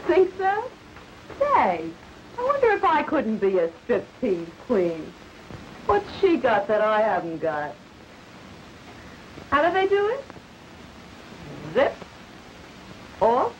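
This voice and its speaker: Very animated high-voiced 1930s ingenue. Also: female voice, takes it slowly, long pauses, heavily acted. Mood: eager, curious. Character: naive, eager young woman dreaming of glamour. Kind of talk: 1930s film melodrama acting. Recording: noisy, dull old film soundtrack.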